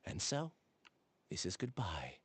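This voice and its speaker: An actor playing an earnest, resigned young male scholar in a video game, speaking in a measured, solemn way. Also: deep voice, huge pitch swings, a little hiss or hum, full character voice.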